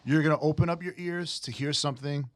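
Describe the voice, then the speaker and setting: A man's voice: on the high side, fairly even intonation, fast, no echo. A man hosting a casual music chat, laid-back and curious, laughing as he talks. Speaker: host; music teacher.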